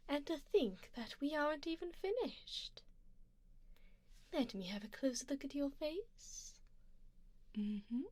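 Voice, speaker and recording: Vampire noblewoman , played by a woman, ASMR roleplay: higher-pitched, breathy and whispering, very slow, formal and coaxing, doting with quiet menace. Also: dry recording, heavily acted. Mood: appraising, pleased. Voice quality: soft, breathy, often dropping into whisper. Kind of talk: ASMR roleplay, soft personal-attention scene.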